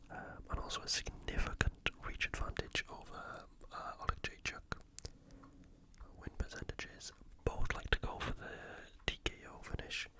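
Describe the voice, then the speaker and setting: An ASMR host speaking entirely in whispers, giving informal, unscripted sports preview commentary and thinking aloud.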